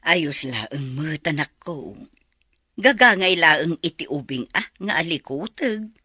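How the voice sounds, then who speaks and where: Casting: obliging elderly mother and grandmother, forward bright voice, very dry studio sound, radio drama. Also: low-pitched, lively intonation, slow, husky, dry recording, heavily acted. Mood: caring. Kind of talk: Ilocano radio drama acting.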